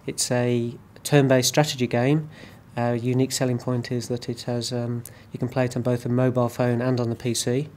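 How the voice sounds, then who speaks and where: A man's voice: medium pitch, little pitch movement, normal speed, hardly any pauses, darkish tone, slight background noise. A man, a team leader, answering interview questions in a plain, slightly unsure way.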